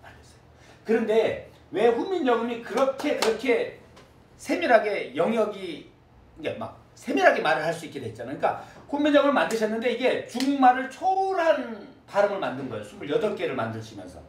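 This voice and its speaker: A high-voiced man lectures with a lot of swing in his pitch, and keeps checking whether his students follow. The recording catches him from across an echoey classroom, with a faint hum of room noise underneath. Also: fairly quick, recorded from a distance. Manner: lively, repeats words for students to echo. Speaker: teacher.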